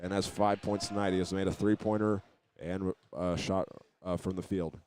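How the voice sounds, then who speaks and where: Low-key, even-toned male basketball announcer. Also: normal speed. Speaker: play-by-play announcer. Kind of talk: live basketball commentary. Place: indoor high school gymnasium. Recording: noisy gym ambience behind.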